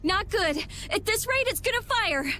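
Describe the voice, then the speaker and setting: A woman voicing an excitable young adventurer in a fantasy game, eager and quick to alarm. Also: extremely high pitch, slight background noise, full character voice. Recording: game audio with loud music behind.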